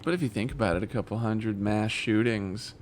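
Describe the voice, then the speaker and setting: A man's voice: on the low side, brisk, darkish tone. Young man tossing dry crude one-liners. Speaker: podcast co-host. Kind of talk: casual podcast banter.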